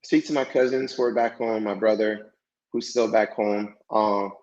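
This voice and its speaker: A man guest in a casual podcast interview, talking in a relaxed, hesitant way with many fillers, French his first language. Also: lowish voice, normal speed. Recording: dull lo-fi sound.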